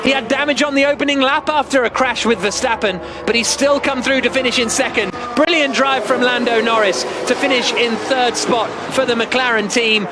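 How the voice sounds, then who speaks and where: High-pitched excitable male race commentator. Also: moderate pace, hardly any pauses, a crowd in the background. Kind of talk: live motor racing commentary. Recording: loud engine noise behind. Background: racing cars and engine roar.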